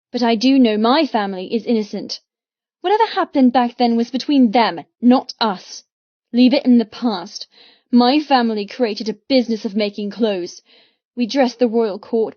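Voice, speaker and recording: A woman's voice: a little high, normal speed, no room sound, lo-fi sound, natural voice. A woman voicing a defiant young captive heroine in an audiobook, with emphatic, impassioned delivery in short, spat phrases. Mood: indignant pride. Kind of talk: dramatic audiobook character dialogue.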